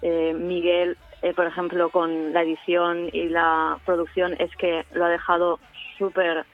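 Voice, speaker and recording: A woman's voice: on the low side, monotone, unhurried. A woman with a Spanish accent from Spain talking casually and emphatically as a guest in a radio interview. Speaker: community radio member, interviewee. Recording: narrow-band remote line, music behind.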